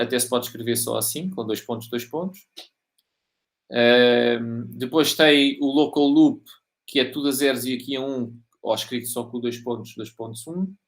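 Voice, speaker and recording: Male lecturer, European Portuguese accent, mid-range voice, informal technical teaching with restarts and frequent checks for understanding. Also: medium pitch. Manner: halting, repeats and rephrases, checks understanding often. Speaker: university lecturer.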